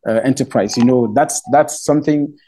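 A Cameroonian man speaks English in a radio interview, searching for his words with fillers and restarts. His voice sits at an ordinary middle pitch for a man. He sounds middle-aged and earnest as he explains his festival. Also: dark tone, slight background noise. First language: Romala. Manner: halting, many fillers and restarts. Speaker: festival spokesman.

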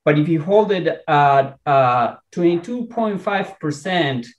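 A man from Argentina with an Argentine Spanish accent, a researcher talking in a podcast interview, enthusiastic and halting with many restarts. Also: on the high side, animated, reverberant. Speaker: researcher and guest.

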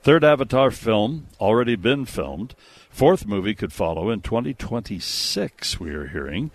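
A man's voice: on the low side, lively intonation, normal speed, no echo. A man hosting a radio movie show with casual, jokey banter and emphatic, conversational delivery. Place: radio studio.

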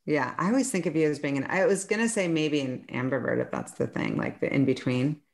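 A woman in her forties hosts a casual podcast interview with a notably low voice. She is friendly and chatty, laughing easily between her questions. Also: sing-song, brisk, creaky. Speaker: podcast host.

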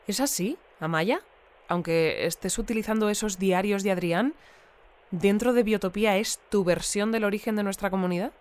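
An actor plays an inquisitive interviewer on a fictional radio news show. The voice sits at a middle pitch. The delivery is natural and explanatory, in audio drama style. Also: moderate pace, close to an everyday voice. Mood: composed, probing.

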